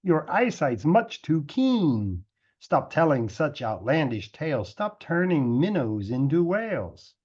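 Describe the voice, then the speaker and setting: A man voicing a stern, calm father in a storybook, questioning and admonishing his child in measured words. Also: fairly high pitch, breathy edge, muffled recording, heavily acted.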